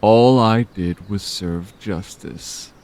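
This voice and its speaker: A man voicing a calm, manipulative villain leader who taunts in an unhurried, smooth way in an audio drama. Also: on the low side. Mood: taunting. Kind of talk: amateur audio drama acting.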